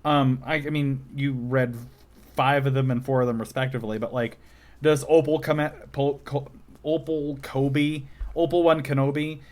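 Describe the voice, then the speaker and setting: A man hosting a casual comedic film review podcast, rambling and halting as he searches for words. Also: mid-range voice, fairly even intonation, a little mellow. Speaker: podcast host reviewing films.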